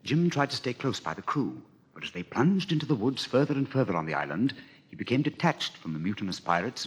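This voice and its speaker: A man's voice: medium pitch, rough recording. A man narrating an adventure story in a dramatic, suspenseful, theatrical style. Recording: old record with clicks, light noise.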